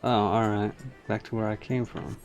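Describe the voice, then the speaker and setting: A man's voice: on the low side, fairly even intonation, normal speed, creaky, faint music behind. A man giving live gameplay commentary, muttering to himself as he plays and reads quest text. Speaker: game streamer.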